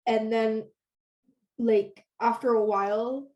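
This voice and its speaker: A woman's voice: on the high side, fairly even intonation, slow pace, mellow, highs cut off. A teenage girl from Canada who grew up speaking Hebrew, chatting casually as an interview guest with many "like"s and "um"s. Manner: chatty, lots of "like" and "um". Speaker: guest singer-songwriter.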